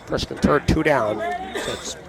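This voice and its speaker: A man calling a baseball game play-by-play, his voice raspy and hoarse from allergies, chatting between pitches. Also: takes it slowly, a crowd in the background. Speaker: play-by-play announcer.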